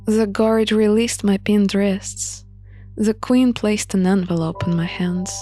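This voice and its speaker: Slow-paced woman narrating a visual novel. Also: mid-range voice, fairly even intonation, warm tone, faint music behind. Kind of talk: scripted first-person story narration.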